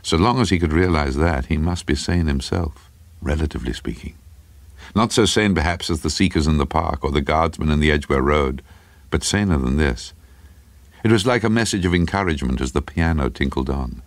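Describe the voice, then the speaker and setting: A man with a very low, creaky voice reads fiction as a dramatic narrator. The sound is dry and close, with no room around the words. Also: lively intonation, fairly quick, dry recording. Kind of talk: dramatic literary story narration.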